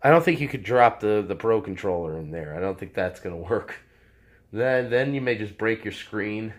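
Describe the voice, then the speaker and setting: Hoarse man rambling through a review. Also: lowish voice, animated, normal speed. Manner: rambling, self-correcting, chuckles at himself. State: hoarse, recovering from a cold. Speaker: product reviewer. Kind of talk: casual unboxing review. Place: indoors at a table. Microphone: handheld camera, off-screen speaker.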